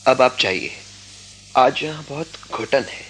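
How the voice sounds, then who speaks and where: An actor playing a proud, self-effacing poor young student, speaking politely and formally in a Hindi radio drama. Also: male voice, medium pitch, expressive, a little slow, a bit bright, faint music behind. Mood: earnest, reluctant. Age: young adult.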